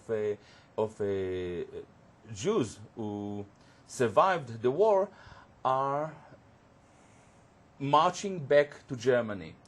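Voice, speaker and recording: A man whose first language is Hebrew, a guest on a studio panel discussion, who talks haltingly and searches for words with many fillers. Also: medium pitch, darkish tone. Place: community TV studio.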